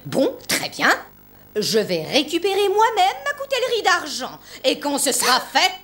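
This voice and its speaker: A woman's voice: high-pitched, huge pitch swings, unhurried, a bit bright, heavily acted. A woman voicing a stern, greedy, haughty headmistress in a cartoon drama, speaking in formal, severe phrases. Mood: cold, menacing. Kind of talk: animated drama dubbing.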